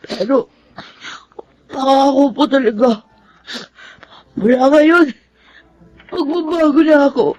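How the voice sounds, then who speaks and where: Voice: high voice, slow pace, long pauses, soft background music. An actor playing a troubled, remorseful adopted schoolboy in a Filipino radio drama, emotional and prone to stammering. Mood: tearful, remorseful. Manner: emotional, stammering when confronted.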